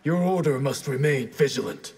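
A man's voice: mid-range voice, expressive, moderate pace, darkish tone, slightly rough. A man playing a stern, honor-bound ninja clan leader with a solemn, formal delivery in a fighting game. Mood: solemn, resolute. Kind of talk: dramatic fighting game dialogue. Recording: reverberant hall-like game mix.

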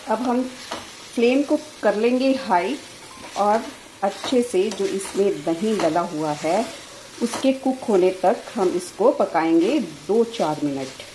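A woman hosting a home cooking channel, giving steady step-by-step recipe instructions and speaking to her viewers as friends. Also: mid-range voice, slow pace, slight background noise. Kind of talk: recipe demonstration voice-over. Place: home kitchen. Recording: roomy kitchen sound with music.

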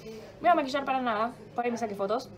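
A woman's voice: on the high side, rapid-fire. Chatty stuffy-nosed Argentine young woman. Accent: Rioplatense Spanish. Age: young adult. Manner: chatty, rambling and self-mocking, swears freely. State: sore throat and stuffy nose. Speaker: vlogger. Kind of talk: casual vlog chat. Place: home kitchen, indoors.

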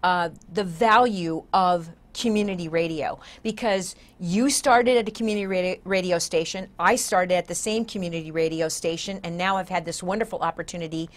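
A woman's voice: on the low side, moderate pace, few pauses. A mature woman hosting a conversational TV interview in a studio, speaking emphatically. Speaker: talk show host. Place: indoor TV talk show studio.

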